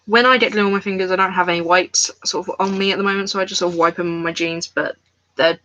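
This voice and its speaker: Woman streaming from home, bright voice, loose rambling chat with viewers. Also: on the low side, steady tone, fast, muffled recording. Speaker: crafts livestreamer. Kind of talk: casual live-stream chat. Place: at home, indoors.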